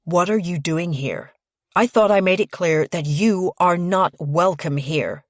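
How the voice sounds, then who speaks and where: A woman's voice: very deep voice, normal speed, gravelly, dry recording, studio quality, voice pushed down, exaggerated voice. A woman voicing a curt, protective, angry young man in an audiobook, blunt and snapping.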